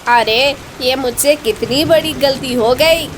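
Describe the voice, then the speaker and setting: Casting: work-shy male cartoon crow done by a woman, high-pitched, slow and apologetic. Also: very noisy. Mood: remorseful. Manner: remorseful, pleading. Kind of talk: cartoon story character voice.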